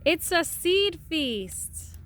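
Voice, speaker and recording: Woman reading a kids picture book, really slow and high, singsong and patient, bit of noise behind her. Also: big pitch swings, a bit bright. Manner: sing-song, patient, pauses to count and point. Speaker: parent reading aloud to a child. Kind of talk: picture book read-aloud to a small child.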